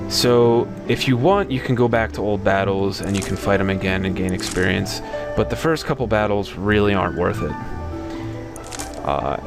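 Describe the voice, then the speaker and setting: A man with a low, deep voice talks through a game in a relaxed, rambling way, full of fillers and restarts. He stays in his own plain voice even when he reads the characters' lines aloud. Game music plays clearly underneath the whole time. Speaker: let's play host. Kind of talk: casual gameplay commentary.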